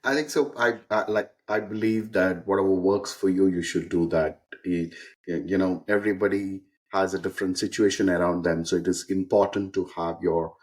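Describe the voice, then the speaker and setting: A man hosting a podcast interview in a very deep, husky voice. He speaks in a measured way and often pauses on hesitant "uh"s between phrases. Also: steady tone. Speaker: podcast host.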